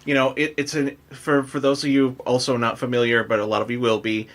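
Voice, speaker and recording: A man's voice: medium pitch, little pitch movement, a little fast. Chatty man hosting a podcast. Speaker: podcast host. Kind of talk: casual interview.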